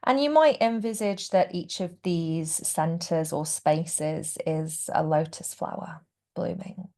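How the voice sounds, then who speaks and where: A woman guiding a relaxation meditation as a yoga nidra teacher, speaking gently and without hurry, with phrases that trail into a hush. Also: sits low. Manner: gentle, unhurried, hushed phrase endings. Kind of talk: guided meditation.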